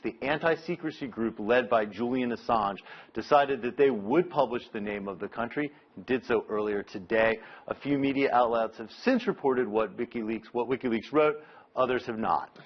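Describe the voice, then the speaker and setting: An American man giving a live news report as a correspondent, explaining in a measured way and stressing key words. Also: mid-range voice, lively intonation, dull audio.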